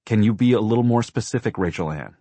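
A man's voice: lowish voice, animated, fast talker, warm tone, no room sound, voice pushed up. A man voicing an adult woman emergency operator, dismissive and official, in an audiobook. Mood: serious.

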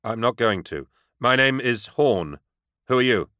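A man voicing a calm, blunt bodyguard with a firm, direct manner in an audiobook. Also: mid-range voice, a little slow, clear, resonant tone, dry recording, clean studio sound, voice pushed up.

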